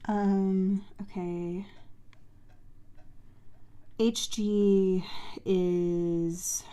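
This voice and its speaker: A woman's voice: mid-range voice, flat delivery, drawn-out words, dark tone. A woman giving casual gameplay commentary, muttering to herself in a hesitant, self-questioning way as she thinks aloud. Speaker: video game streamer playing a puzzle adventure.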